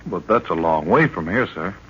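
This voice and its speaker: A man's voice: sits low, lively intonation, normal speed, a bit bright, a little hiss or hum. A man playing an earnest young expedition member in an old radio serial, questioning and respectful toward his leader. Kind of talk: 1930s-40s radio serial acting. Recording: muffled old radio recording.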